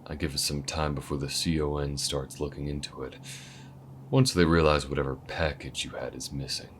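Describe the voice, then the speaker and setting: A man voicing a calm, weathered alien gunslinger who mentors the listener, speaking low-key and laid-back, sometimes near a whisper, in an audio roleplay. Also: normal speed, slight background noise. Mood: steady, easygoing. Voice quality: very deep, low-key drawl.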